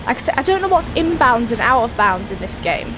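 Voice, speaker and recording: Raspy woman with a cold, gameplay host, thinking aloud, slightly high voice, game noise behind. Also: fairly quick. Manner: chatty, thinking aloud while playing. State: hoarse with a cold. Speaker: let's play host. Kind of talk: casual gameplay commentary. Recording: voice over steady game engine noise.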